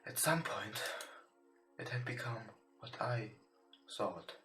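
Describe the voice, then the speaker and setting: A man with a bright, slightly low voice reads game text aloud in English that is not his first language. He goes slowly and a little haltingly, as a let's play host. The room echoes around him. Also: animated. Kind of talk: reading visual novel text aloud with occasional asides. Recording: roomy echo with music behind.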